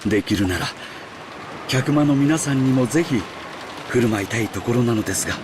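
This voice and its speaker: Casting: male actor as genial, proud servant chef, polite, brisk delivery, game dialogue with rain behind. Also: medium pitch, expressive, noisy background, close to an everyday voice. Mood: wistful. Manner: polite, self-assured. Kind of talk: Japanese visual novel voice acting.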